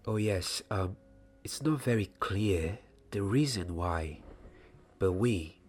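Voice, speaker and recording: A young man acting as a gentle wizard wand maker in an ASMR fantasy roleplay. His voice is low and hushed, often half-whispered, and extremely slow, with pauses between short phrases. There is clear hiss behind him. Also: expressive. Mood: instructive, reverent. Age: 20s. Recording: audible background hiss, small clicks.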